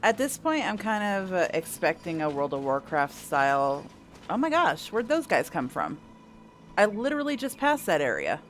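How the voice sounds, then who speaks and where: A woman's voice: fairly low pitch, very animated, moderate pace. A woman giving casual gameplay commentary, rambling and thinking aloud with the odd mild swear. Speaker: gameplay streamer. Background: video game music and sounds.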